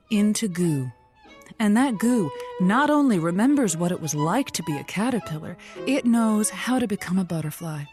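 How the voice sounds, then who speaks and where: An actress plays a bawdy woman who hosts a love show on the radio. Her delivery swings lively up and down, theatrical and teasing, packed with puns and innuendo. It is comedy audio drama, with music underneath. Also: medium pitch, close to an everyday voice. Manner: innuendo-laden, playful, punning.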